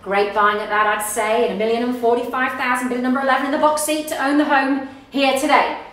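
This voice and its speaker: A woman in her thirties with an Australian accent, working as an auctioneer and calling bids live with brisk, upbeat sales patter. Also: high voice, steady tone, fairly bright tone, distant mic. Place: small office studio in front of a backdrop. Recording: echoey room, voice heard from off the mic.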